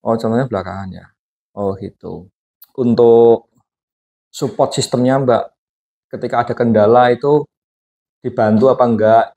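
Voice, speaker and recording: Man, host, casual interview, chatty, question tags, mid pitch. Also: steady tone, moderate pace, long pauses, mellow. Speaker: host and hypnosis teacher.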